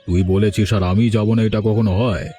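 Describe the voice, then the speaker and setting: A man's voice: on the low side, steady tone, normal speed, dark tone, soft background music, natural voice. An actor playing a middle-aged, patronising village moneylender and father in a cartoon story. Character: two-faced village moneylender father, stingy, patronising criminal. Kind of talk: Bengali cartoon story dialogue.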